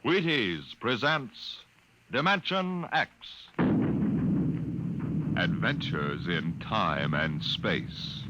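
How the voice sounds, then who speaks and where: A man's voice: lowish voice, expressive, very slow, pauses often, lo-fi sound. A man announcing a 1950s radio show in a dramatic, theatrical style. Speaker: program announcer. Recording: very noisy old radio broadcast.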